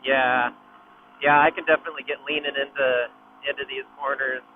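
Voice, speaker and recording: A man's voice: medium pitch, flat delivery, fairly quick. A man chatting casually during a ride, heard over a motorcycle helmet intercom. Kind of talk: casual chat while riding. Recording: muffled intercom radio, faint noise.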